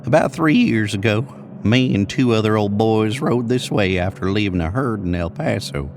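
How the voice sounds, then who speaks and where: A man's voice: lowish voice, expressive, normal speed, darkish tone, a little hiss or hum. A man voicing an older, rambling American cowboy in an audio horror story, with a folksy, drawling manner. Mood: ominous, musing. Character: older rambling cowboy spinning a spooky trail tale.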